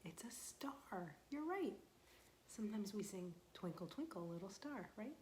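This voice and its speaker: A young woman librarian leading a storytime for young children, asking questions in a sing-song voice and dropping to soft whispers for suspense. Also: medium pitch, big pitch swings, measured pace, slight background noise.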